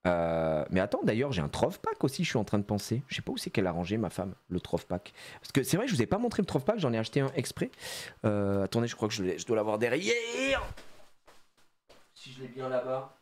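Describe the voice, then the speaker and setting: A man's voice: medium pitch, a little fast. A man chatting casually on a live stream as he opens trading card packs, joking around and laughing often. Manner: animated and jokey, laughs often, plays on words.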